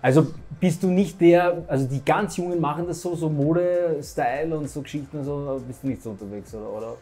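An Austrian man in his thirties chats with a footballer in a club café. He talks fast and jokes around, with a warm, mellow tone, and he keeps pressing with follow-up questions. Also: fairly high pitch, faint music behind. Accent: Austrian German. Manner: quick, joking, pushes with follow-up questions. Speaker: sports show reporter, interviewer. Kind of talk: casual chatty interview.

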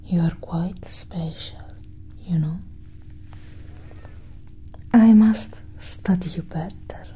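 A young woman whispering breathily and deliberately in a soft ASMR roleplay as a calm alien scientist, close to the mic. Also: rough recording. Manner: slow, deliberate, hushed. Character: calm, clinical female alien scientist examining a human. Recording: steady low engine-like hum.

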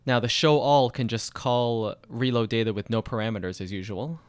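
A man explaining code step by step in a screencast tutorial, steady and methodical. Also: mid-range voice, moderate pace, clean tone, no room sound, studio quality. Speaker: programming tutorial instructor. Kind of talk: screencast coding walkthrough.

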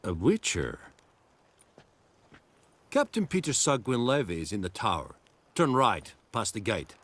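A man's voice: on the low side, wildly swooping pitch, measured pace, a bit bright, exaggerated voice. A man playing a curt, haughty foreign guard in a video game, with a clipped manner. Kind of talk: video game dialogue acting.

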